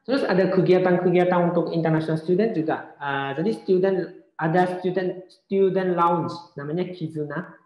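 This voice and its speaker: Male seminar presenter, slightly high pitch, hesitant and reassuring delivery, dull online-call sound. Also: normal speed. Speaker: seminar presenter on studying abroad. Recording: muffled, roomy online call audio.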